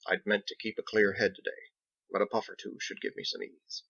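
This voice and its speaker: A man voicing a genteel, wry, philosophical sea captain who speaks formally and deliberately in a storybook reading. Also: fairly low pitch, level tone, gravelly, bright, forceful tone, no echo, highs cut off, exaggerated voice. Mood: pensive. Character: genteel, measured, pipe-smoking male sailor captain, wry and philosophical.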